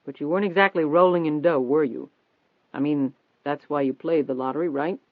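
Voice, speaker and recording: A man voicing a persistent, confident newspaper reporter in an audiobook, steady, probing and insistent. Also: fairly high pitch, fairly quick, no echo, close to an everyday voice. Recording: thin phone-like band-limited audio.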